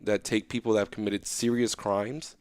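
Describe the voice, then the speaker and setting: A young man talking casually as an interview guest, animated and loose, often checking in with "right?". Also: on the low side, normal speed, smooth, no room sound.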